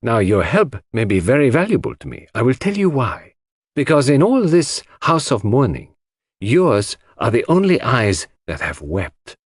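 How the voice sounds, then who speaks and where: A man voicing a grave, courteous foreign detective in an audiobook, speaking in a formal, measured, emphatic way. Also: mid-range voice, very animated, slightly rough, no room sound, studio quality. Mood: sympathetic. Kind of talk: audiobook character voice.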